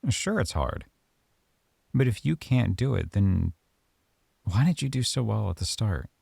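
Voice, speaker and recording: Deep, gravelly male lead for a close-mic ASMR roleplay, dry sound, calm and measured. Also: dry recording. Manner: calm, measured, softly stern. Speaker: audio roleplay performer as a professor.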